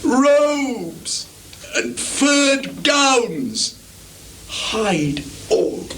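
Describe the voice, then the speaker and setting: An actor playing a mad, bitter old king in theatrical Shakespearean style, lurching between declamatory shouts and hushed mutters. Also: high-pitched, full character voice. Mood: grave, bitter. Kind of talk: theatrical Shakespearean stage recitation. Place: theatre stage before a live performance audience. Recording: 1980s live theatre recording, background noise.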